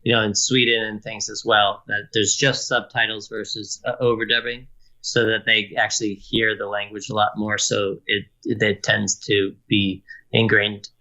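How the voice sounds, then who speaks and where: Bright-voiced man on a dull, muffled line. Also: fairly low pitch, flat delivery, normal speed. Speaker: guest musician. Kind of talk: casual interview chat.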